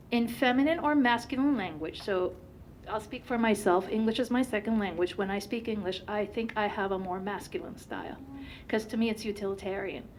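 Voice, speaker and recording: A woman whose first language is Turkish, giving a conference presentation in an animated way with many fillers. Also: medium pitch, a little hiss or hum, poor audio.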